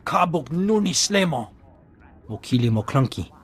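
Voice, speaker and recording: A man playing a brusque male alien henchman in a video game, with a rough, husky voice. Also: a little high, very animated, normal speed, heavily acted. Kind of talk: scripted video game acting.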